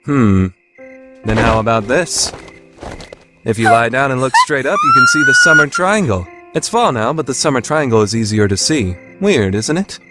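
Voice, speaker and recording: A man's voice: medium pitch, huge pitch swings, normal speed, loud music behind the voice. A man playing an earnest teenage student council president who explains things with boyish enthusiasm, in an anime-style radio play. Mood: excited.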